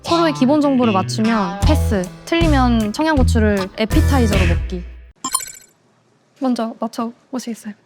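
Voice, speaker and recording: A woman in her twenties on a blind date show, talking in a polite, measured way. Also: on the high side, animated. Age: 20s. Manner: polite and measured, formal speech. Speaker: dating show guest. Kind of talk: casual dating chat. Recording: music bed under the talk.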